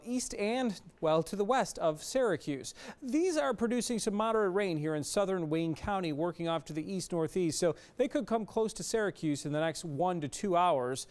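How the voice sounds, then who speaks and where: A man's voice: sits high, animated, moderate pace, darkish tone. A man with an American accent giving an off-the-cuff weather forecast as a TV meteorologist.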